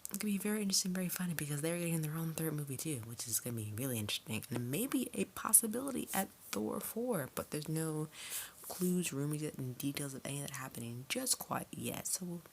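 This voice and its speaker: A woman's voice: low voice, animated, fast, vocal fry, darkish tone, a little hiss or hum. A woman giving a casual, conversational movie review in an informal, rambling way, recorded at home. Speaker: video reviewer.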